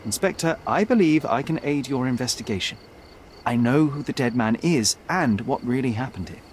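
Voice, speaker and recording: An actor playing a young detective in a game, articulate, precise and self-assured. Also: male voice, mid-range voice, animated, normal speed, a little hiss or hum, natural voice, rough recording. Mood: confident, probing. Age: young adult. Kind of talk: dramatic game cutscene dialogue. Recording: faint night ambience behind.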